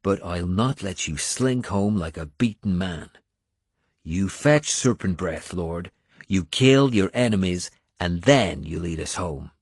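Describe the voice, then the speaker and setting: A man voicing a blunt, gruff Irish male warrior with an Irish accent, scolding and coarse. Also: low voice, lively intonation, slow pace, dry recording, clean studio sound, exaggerated voice. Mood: curt.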